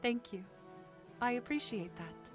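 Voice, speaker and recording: A woman's voice: a little high, expressive, unhurried, music in the background. A woman voicing a poised, gracious young princess in a fan audio drama, speaking with polite, refined phrasing. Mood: warm, polite.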